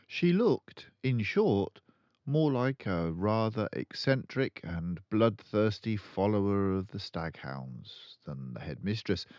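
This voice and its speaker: A man's voice: lowish voice, darkish tone, no echo, lo-fi sound. An older man reading a children's book aloud expressively as a storyteller.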